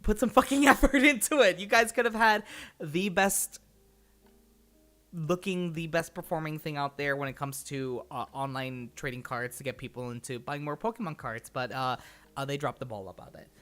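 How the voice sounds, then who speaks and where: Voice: mid-range voice, normal speed, a bit bright. A livestream host chatting casually and rambling from thought to thought, with an easy habit of laughing and swearing.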